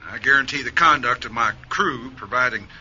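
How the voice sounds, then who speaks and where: A man's voice: mid-range voice, fairly quick, bright, forceful tone, a little hiss or hum. A man playing a formal, suspicious spaceship commander in a radio play, stiffly courteous and guarded. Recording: dull band-limited sound, music behind.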